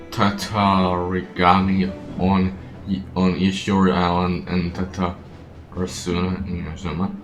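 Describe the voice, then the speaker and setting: A man's voice: low voice, roomy sound. A man doing casual gameplay commentary and reading dialogue choices aloud. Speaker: gameplay streamer.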